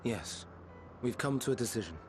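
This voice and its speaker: Actor as a courteous, reserved mid-pitched scholar. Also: male voice, animated, normal speed, a little hiss or hum, close to an everyday voice. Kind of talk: video game cutscene acting.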